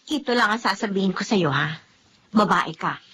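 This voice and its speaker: A woman's voice: medium pitch, animated, moderate pace, fairly bright tone, husky. A woman with a Filipino accent playing a blunt, admonishing confidante in a radio drama. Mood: scolding. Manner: emphatic, admonishing. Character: blunt, scolding female confidante lecturing a young wife.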